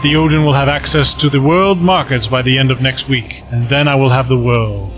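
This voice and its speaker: A man's voice: medium pitch, fairly quick, fairly bright tone, natural voice. A man playing a cruel, commanding Time Lord villain in an audio drama, pompous and menacing. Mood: smug menace. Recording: music behind.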